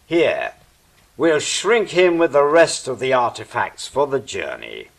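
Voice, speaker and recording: A man's voice: mid-range voice, wildly swooping pitch, measured pace, gravelly, exaggerated voice. A man playing a scornful, commanding angel in an audio drama, declaiming sardonically over background hiss. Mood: brisk, grandiose. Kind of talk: stage-style audio drama acting.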